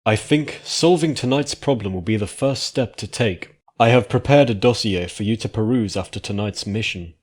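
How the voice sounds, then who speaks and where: A regal pony princess from a fantasy story as a male reader plays her. The delivery is gentle and composed, with measured phrasing. The register stays low. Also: slightly rough. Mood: calm, assured. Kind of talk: dramatic fan fiction reading.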